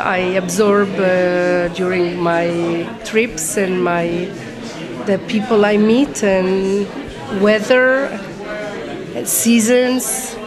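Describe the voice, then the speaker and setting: A middle-aged woman painter from Morocco, talking in a slow, reflective way. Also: medium pitch. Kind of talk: interview answers. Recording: crowd chatter behind.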